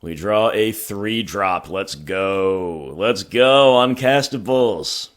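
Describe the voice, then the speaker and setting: Hoarse man with a low voice, wry gameplay commentary, talks through his decisions. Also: slow pace. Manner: thinking aloud, wry, self-mocking. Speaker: gaming channel host.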